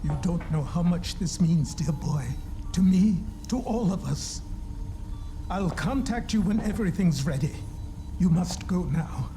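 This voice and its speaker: A middle-aged scientist doctor in a game cutscene, courteous and formal. He hesitates, fusses and mutters as if his mind is on his work, with clear music underneath. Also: high-pitched, animated, measured pace. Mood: grateful, hurried. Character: courteous, absent-minded scientist doctor, driven and fussy. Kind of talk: video game cutscene acting. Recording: music behind the voice.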